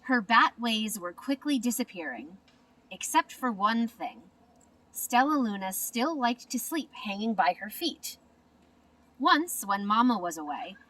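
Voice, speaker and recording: Woman reading a kids picture book, takes it slow, expressive, sounds a little away from the mic. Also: fairly high pitch, recorded from a distance, poor audio. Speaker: storybook reader. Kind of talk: children's storybook narration.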